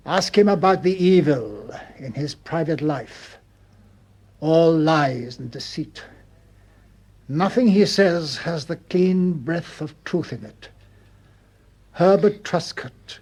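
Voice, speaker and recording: An actor playing an older colonel in a radio detective drama, stiff and moralizing, with solemn, repetitive pronouncements. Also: male voice, a little high, a little mellow, slightly rough, heavily acted. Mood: solemn, fixated.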